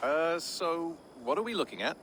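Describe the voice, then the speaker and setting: A man's voice: a little high, normal speed, background noise. A man playing an easygoing, playful woodland character in a video game, with a breezy manner. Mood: sheepish. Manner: breezy, animated.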